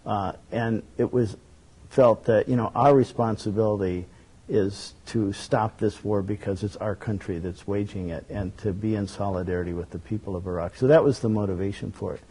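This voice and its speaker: A man as a guest on a talk show, explaining his work earnestly and at a measured pace, with frequent fillers and restarts. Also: lowish voice, mellow, slightly rough, poor audio. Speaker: guest, documentary network director.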